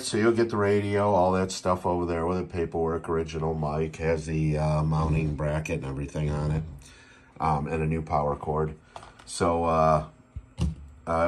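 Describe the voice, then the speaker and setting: A middle-aged man with a low-pitched voice walks viewers through a piece of gear in a casual seller's demo. He is recorded indoors on a handheld phone, with clear background noise. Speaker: radio seller and restorer demonstrating a CB radio. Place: small indoor room at a workbench.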